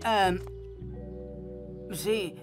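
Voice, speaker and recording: A man's voice: sits high, very slow, soft background music. A man with a Castilian Spanish accent playing a bashful but boastful young builder's apprentice in a children's cartoon, often faltering. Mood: sheepish. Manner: hesitant, faltering.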